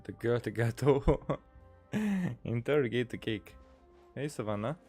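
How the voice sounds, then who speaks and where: A man's voice: mid-range voice, expressive, unhurried, a little mellow, breathy edge, soft background music. A man giving casual, amused reactions while playing a video game. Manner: amused, echoes phrases he hears. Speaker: game streamer. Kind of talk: casual let's-play reactions.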